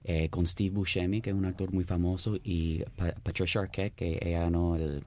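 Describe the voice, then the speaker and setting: A man with a Cuban accent, an actor telling stories as a guest in a friendly, measured way. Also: low-pitched, flat delivery, a little mellow. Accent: Cuban Spanish.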